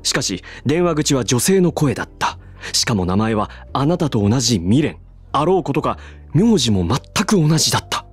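An actor playing a calm, deadpan male bartender who cracks absurd jokes and explains theories at length, in a voice drama. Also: mid-range voice, normal speed, husky, faint music behind. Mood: dry. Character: calm deadpan male bar master, joking.

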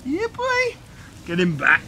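A man's voice: very high voice, huge pitch swings, takes it slowly, bright, forceful tone. A man outdoors by a lake, chatting in a laid-back way and bantering with his brother. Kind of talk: casual chat. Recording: outdoor noise behind.

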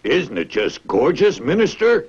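A man's voice: fairly high pitch, huge pitch swings, a little slow, darkish tone, husky, exaggerated voice. A man playing an oily, deferential swindler in a fairy tale, with an obsequious, insinuating manner. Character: oily, deferential swindler weaver with mock courtesy. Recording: dull lo-fi audio.

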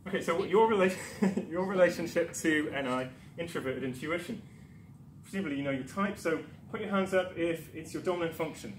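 A man's voice: on the high side, a little fast. Man talking in an echoey lecture room. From Newcastle, England. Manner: chatty, self-deprecating, many ums and restarts. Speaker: conference speaker. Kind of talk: presentation talk. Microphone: far camera. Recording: echoey room with background noise.

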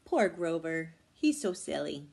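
A woman's voice: low-pitched, sing-song, unhurried, gravelly, darkish tone. A middle-aged woman casually reading aloud to children at home. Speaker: storybook reader. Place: indoor living room at home.

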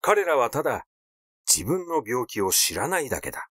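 Expressive male Japanese storyteller, lively intonation. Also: mid-range voice, moderate pace, husky, no room sound, studio quality. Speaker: audiobook reader. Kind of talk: scripted literary narration, story reading.

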